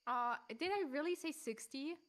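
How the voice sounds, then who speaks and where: A woman with a German accent whose first language is German, chatting on a live stream. She talks in an animated, joking way, with frequent laughs and casual swearing. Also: high voice, moderate pace, a crowd in the background.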